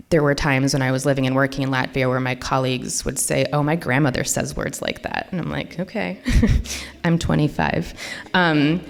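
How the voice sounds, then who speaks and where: A woman with a Minnesotan accent speaks in a low-pitched voice as she gives an informal lecture. She talks in a chatty way, often pausing on "um" and restarting her sentences. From United States. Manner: chatty, frequent ums and restarts.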